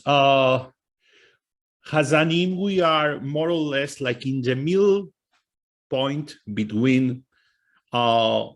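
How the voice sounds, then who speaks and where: An Argentine man, a native Spanish speaker and cantor, teaching informally over a video call and searching for his words in English with an Argentine Spanish accent. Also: medium pitch. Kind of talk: informal lecture on a video session.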